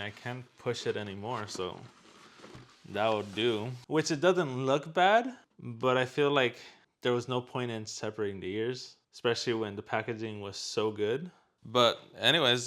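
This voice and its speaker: A man's voice: medium pitch, fairly bright tone. A man chatting casually as he hosts an unboxing and product review.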